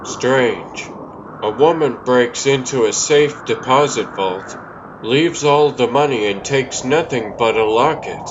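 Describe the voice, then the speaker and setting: A man voicing a steady, wry superhero in a comic dub, measured in both inner monologue and dialogue. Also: medium pitch, dull audio, a little hiss or hum, close to an everyday voice. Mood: puzzled, wry. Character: steady, wry male superhero, puzzled but determined. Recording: music behind the voice.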